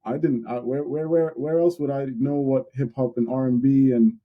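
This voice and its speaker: A young man co-hosting a podcast, talking in a relaxed, candid way and repeating words while he thinks. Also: medium pitch, normal speed, narrow, tinny audio. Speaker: podcast co-host. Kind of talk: casual podcast discussion.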